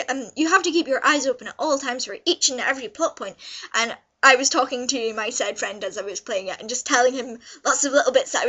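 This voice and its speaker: Young woman, high-pitched, bright tone, casual reviewer, gushing, rambling, informal. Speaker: vlogger reviewing visual novels. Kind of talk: casual review chat to camera.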